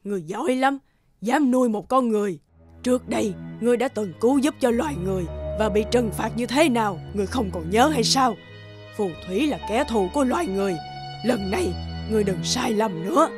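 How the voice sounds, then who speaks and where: A woman's voice: a little high, lively intonation, brisk, over music, close to an everyday voice. A woman playing a stern old witch leader in a cartoon, cold and commanding, lecturing imperiously. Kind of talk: cartoon dubbing.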